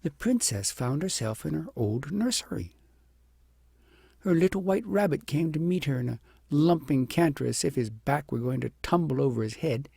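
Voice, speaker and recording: Guy reading a kids audiobook, gentle n expressive, husky, pretty dry sound. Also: fairly high pitch, moderate pace, dry recording. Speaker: audiobook narrator. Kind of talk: storybook narration.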